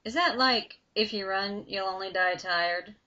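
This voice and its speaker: A woman voicing a huge, cocky teenage boy with a heavy Southern drawl, speaking loud and brash in a dull lo-fi recording. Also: medium pitch, measured pace.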